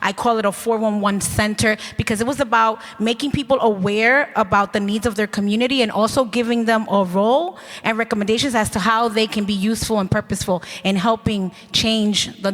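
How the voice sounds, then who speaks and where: A woman state representative answering on a panel in an earnest, conversational way, often ending points with a quick "right?". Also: a little high, a bit bright. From New York City. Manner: conversational, earnest, with tag questions. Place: conference hall panel. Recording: reverberant hall.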